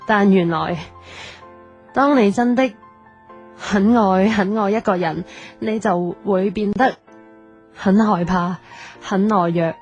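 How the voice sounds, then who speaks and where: A woman's voice: medium pitch, a little mellow, lo-fi sound, natural voice. An actress playing a young woman in an audio drama, with a Hong Kong Cantonese accent. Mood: tender, earnest.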